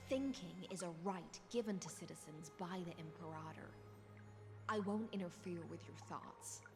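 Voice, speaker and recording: Game music sits under an actress voicing a lazy, dangerous noblewoman of the sea, a little lower-pitched than most women. She talks softly and without hurry, sometimes close to breathy, mixing teasing with cold philosophy. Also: normal speed, natural voice. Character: languid, menacing sea-born noblewoman. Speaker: game character. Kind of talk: video game voice acting.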